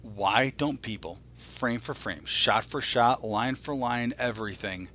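A man's voice: medium pitch, measured pace, sharp tone, slight background noise. A man in his forties co-hosting a podcast, ranting casually in a blunt, exasperated way with constant profanity. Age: 40s. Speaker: podcast co-host. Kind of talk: casual opinionated podcast rant.

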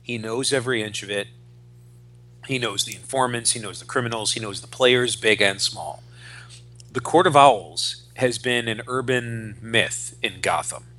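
A man's voice: mid-range voice, normal speed, pushed and bright. A man in his forties co-hosting a podcast, chatting casually and enthusiastically about comic books, swearing as he explains things. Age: 40s. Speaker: podcast co-host.

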